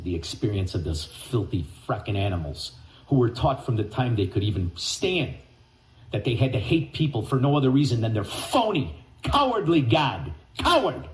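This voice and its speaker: A man hosting talk radio, ranting and mocking with name-calling and sudden shouted bursts. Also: mid-range voice, reverberant, rough recording. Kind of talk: angry talk radio rant.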